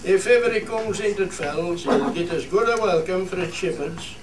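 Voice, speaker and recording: A man's voice: high voice, moderate pace, lightly acted. A man playing a hearty old shepherd who chairs a village meeting in a radio play, with a Cumbrian accent and a homely, rallying manner. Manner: homely, rallying, repeats phrases. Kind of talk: dialect radio play acting. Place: theatre studio. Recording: roomy, distant mic, faint noise.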